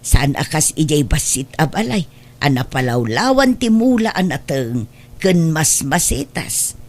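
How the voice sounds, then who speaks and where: A woman with an Ilocano accent playing a gentle but stubborn elderly mother in a family audio drama. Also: deep voice, very animated, measured pace, full character voice. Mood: wistful, stubborn. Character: stubborn, gentle elderly mother attached to her old house. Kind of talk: Ilocano radio-style family drama acting.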